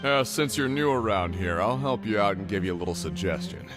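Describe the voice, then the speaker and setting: An actor voicing a condescending ticket seller at a fight arena in a video game. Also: male voice, on the low side, animated, fairly quick, lightly acted. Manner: condescending, salesman-like. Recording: game audio with music. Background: game music.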